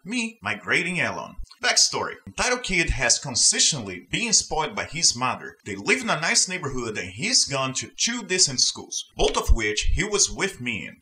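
A man's voice: medium pitch, sharp tone. A man from Brazil hosting and reading online stories aloud, with emphatic, opinionated commentary. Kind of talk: scripted story reading with personal commentary.

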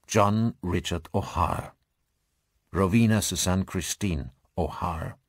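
A man narrating a thriller audiobook in a measured, articulate storytelling manner. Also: deep voice, no room sound, clean studio sound.

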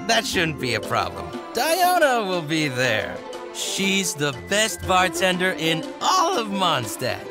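A man's voice: a little high, exaggerated voice. An actor playing a tipsy, friendly young man who rambles through game dialogue with slurred, hesitant delivery. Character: tipsy friendly male tavern regular, rambling and smitten. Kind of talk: video game character dialogue. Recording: background music under the dialogue.

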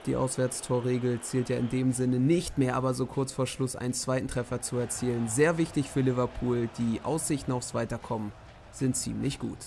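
A hoarse young man giving lively play-by-play summaries of simulated football matches. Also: mid-range voice, moderate pace, slight background noise. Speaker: host commentating simulated matches.

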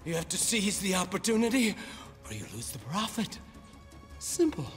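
A man's voice: high-pitched, sing-song, normal speed, over music. A man playing a greedy, callous businessman villain with a smug, menacing manner in a video game cutscene. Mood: smug menace.

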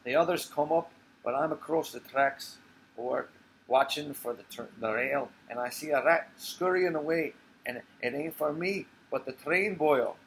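A man's voice: on the high side, normal speed, a bit bright, low-quality recording. Man performing Irish barfly, bitter, agitated, salty stage monologue, some background noise. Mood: bitter, wry. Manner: foul-mouthed, emphatic storytelling. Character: agitated, bitter Irish barfly recounting a near-death scrape.

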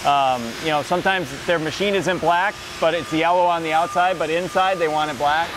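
A man's voice: a little high, brisk. A middle-aged man with an American accent gives a casual, unscripted factory tour explanation, conversationally checking that his listener follows. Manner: conversational, often checking with "right?". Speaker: company representative giving a factory tour. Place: large indoor factory floor. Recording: noisy factory floor with machinery hum.

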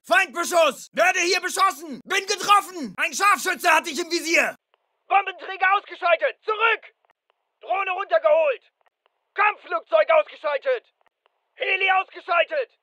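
Ein Mann spielt einen wachsamen Soldaten in einem Militärshooter, der knappe, befehlende und drängende Kampfmeldungen ruft. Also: male voice, very high-pitched, bright, forceful tone, no room sound, full character voice, rough recording. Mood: urgent, shouting. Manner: clipped, commanding, urgent. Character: alert male soldier barking urgent battlefield callouts. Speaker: voice actor in a dubbed military shooter. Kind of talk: military combat callouts, short barked lines.

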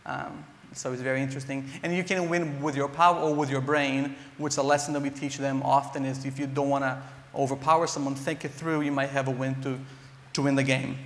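A man's voice: medium pitch, little pitch movement, brisk. A man in his thirties from Brazil with a Brazilian accent, speaking earnestly as a panelist on stage with frequent ums and restarts. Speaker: panelist, nonprofit director. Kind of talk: conference panel talk. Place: large conference hall stage. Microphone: lapel mic. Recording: echoey conference hall reverb.

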